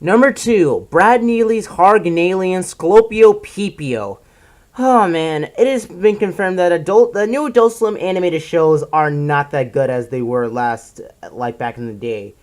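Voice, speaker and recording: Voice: medium pitch, animated. Exasperated reviewer ranting through a countdown. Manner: exasperated, emphatic ranting with drawn-out stressed words. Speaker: video reviewer presenting a countdown list. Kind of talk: casual opinionated commentary.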